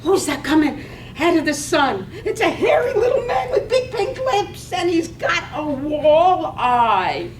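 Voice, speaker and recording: Woman playing a very high-pitched village gossip. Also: big pitch swings, a little slow, exaggerated voice. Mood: entranced. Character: gossipy village wife, crystal-gazing and scandal-loving. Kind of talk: stage reading of poetic radio drama. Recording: echoey room, distant mic, faint rumble.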